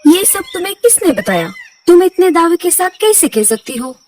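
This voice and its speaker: A woman's voice: very high voice, sing-song, moderate pace, darkish tone. Lady doing kind bird king for kids cartoon, swingy intonation, regal, bit distant w faint noise. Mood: attentive. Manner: regal, attentive. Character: kindly trusting bird king, authoritative.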